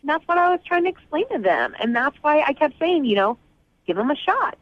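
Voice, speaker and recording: A woman phones a radio show and talks casually, explaining herself with an apologetic manner. Her intonation is very lively. The line is dull and lo-fi, with no room echo at all. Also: high-pitched, a little fast. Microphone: phone call to a radio studio.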